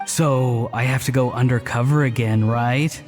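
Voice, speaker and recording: A man's voice: mid-range voice, steady tone, measured pace, darkish tone, faint music behind, lightly acted. A male actor playing a laid-back, earnest young patrolman in a game, casual and plain-spoken. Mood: dutiful.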